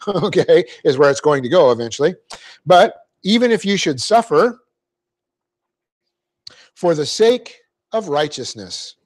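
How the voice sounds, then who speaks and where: A man teaching a Bible class, explaining Greek grammar step by step, spelling out words and clearing his throat often. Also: a little high. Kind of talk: verse-by-verse Bible class lecture.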